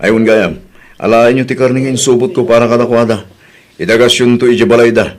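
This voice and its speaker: A man's voice: medium pitch, steady tone, brisk, natural voice. A man with an Ilocano accent playing a considerate, trusting husband in a radio drama.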